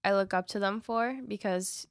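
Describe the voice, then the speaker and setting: A woman's voice: medium pitch, moderate pace, clear, resonant tone, no echo, studio quality. A woman in her twenties from Queens, a native Spanish speaker, chatting casually as a podcast guest with many fillers and frequent laughs. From Queens, New York. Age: 20s. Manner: relaxed, filler-heavy, laughing often. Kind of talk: casual interview chat.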